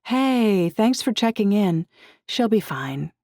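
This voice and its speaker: Audiobook best friend read by a narrator: upbeat teen girl, chatty, raised pitch, dry recording. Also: sing-song, slow pace, a little mellow, no echo.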